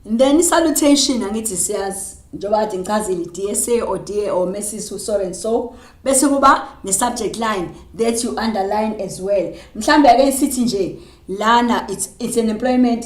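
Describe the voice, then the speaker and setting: A woman teacher with a Zulu South African accent, switching between Zulu and English mid-sentence and slowing right down to dictate as she writes. Her voice sits at a middle pitch and rings in a roomy, echoey space. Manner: mixes Zulu and English, dictates slowly while writing. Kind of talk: classroom lesson on job applications.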